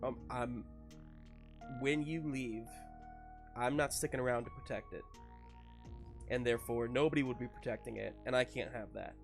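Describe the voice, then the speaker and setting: A man's voice: mid-range voice, normal speed, creaky, long pauses, faint music behind, close to an everyday voice. Man playing a stubborn, defiant woman in plain voice. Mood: firm, defiant.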